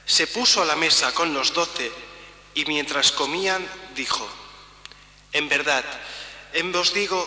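A middle-aged priest reads scripture aloud with very slow, deliberate phrasing and a sharp, ringing tone. His voice sits a little high and carries through a large church with a long, echoing tail. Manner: measured, solemn, pausing between phrases while signing. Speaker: priest reading the Passion. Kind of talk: slow liturgical Gospel reading. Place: church sanctuary, large indoor space. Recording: roomy church reverb, mic at a distance.

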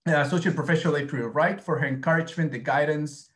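A man's voice: a little high, fast, a bit bright. A man in his thirties from Argentina with an Argentine Spanish accent, giving a conference presentation over a video call with many fillers and restarts. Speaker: academic presenter.

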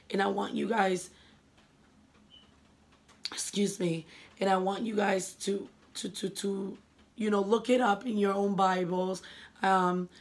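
A young woman speaks in a raspy, hoarse voice, worn down by a cold. She talks earnestly and emotionally, gesturing as she shares her faith into a close webcam in a living room. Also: mid-range voice. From New England. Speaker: vlogger. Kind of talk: personal faith vlog.

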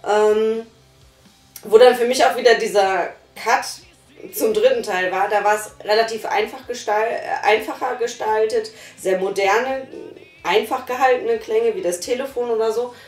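A woman's voice: a little high, normal speed. Eager woman, echoey far mic. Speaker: reviewer. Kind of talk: casual review chat. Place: small living room, on a couch. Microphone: far camera mic. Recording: roomy, distant mic, faint music.

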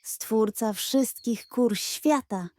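A woman voicing a fake chicken spirit in a children's fairy tale, mysterious, pompous and full of grand flattery. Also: fairly high pitch, drawn-out words, dry recording, studio quality. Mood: mysterious, theatrical.

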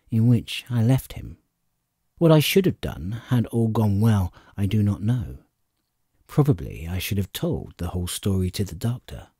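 A man reading a classic adventure novel as an expressive audiobook narrator. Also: on the low side, husky, no room sound, clean studio sound.

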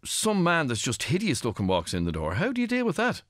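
Middle-aged Irish male talk-radio host; crisp bright tone, animated pitch, halting probing questions. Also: no room sound, clean studio sound. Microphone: studio microphone.

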